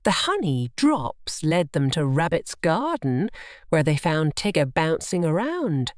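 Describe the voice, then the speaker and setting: A woman reads scripted children's stories. Her voice sits slightly low and moves expressively through each line. The recording is dry, with no room sound. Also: big pitch swings, measured pace, no room sound. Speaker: storyteller. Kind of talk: scripted children's story narration.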